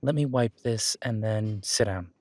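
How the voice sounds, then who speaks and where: A man's voice: on the low side, unhurried, darkish tone, no echo. Man playing a casual, reassuring werewolf boyfriend, close and dry. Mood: casual, instructive. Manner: casual, reassuring, rambling self-corrections. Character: friendly male werewolf gym-goer, easygoing supportive boyfriend. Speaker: voice actor in an audio roleplay. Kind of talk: conversational one-sided roleplay acting.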